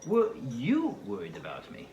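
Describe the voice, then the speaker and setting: A man's voice: mid-range voice, wildly swooping pitch, a little slow, mellow. A man playing an ardent, wistful young suitor in a dramatized novel reading, earnest and coaxing. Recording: roomy, hissy home recording.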